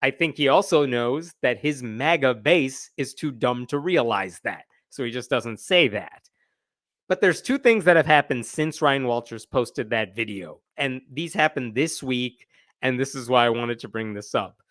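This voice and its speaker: A man's voice: mid-range voice, unhurried, fairly bright tone, dry recording, clean studio sound. A man hosting a livestream with casual, opinionated news commentary, conversational and emphatic, with a sardonic edge.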